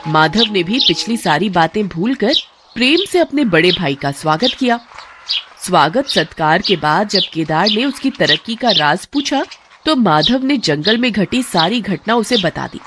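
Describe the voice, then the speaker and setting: An expressive woman tells a children's story in a scripted storybook manner. Her pitch rises and falls with plenty of life. Music plays underneath. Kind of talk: scripted narration of a kids story. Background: background music.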